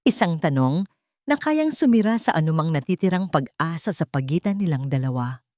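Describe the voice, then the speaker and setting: Female storyteller for dramatic fiction, slightly low voice, close dry recording. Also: big pitch swings, normal speed, a little mellow, no room sound. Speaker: storyteller, narrator. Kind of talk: dramatic scripted story narration.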